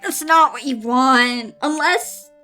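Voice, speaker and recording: A woman with a high voice reads aloud at a slow, deliberate pace, breaking into casual, exasperated asides. Her delivery is expressive and theatrical, with music playing behind her. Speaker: host reading and reacting to fan fiction. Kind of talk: dramatic read-aloud with casual exasperated commentary.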